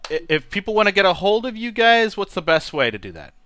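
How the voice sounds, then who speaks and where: A man hosting a casual podcast interview, enthusiastic and quick with affirmations. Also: sits high, very animated, a bit bright, no echo, clean studio sound. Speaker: podcast host.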